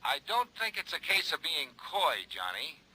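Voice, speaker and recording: An actor playing a brisk insurance office manager giving a matter-of-fact briefing over the phone in a 1950s radio drama. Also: male voice, on the high side, very animated, sharp tone, slightly rough, full character voice, low-quality recording.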